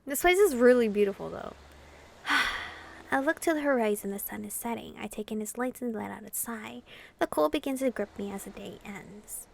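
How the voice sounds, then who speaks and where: A woman's voice: a little high, moderate pace, creaky. A woman gamer reading a visual novel aloud expressively, in the style of a casual playthrough.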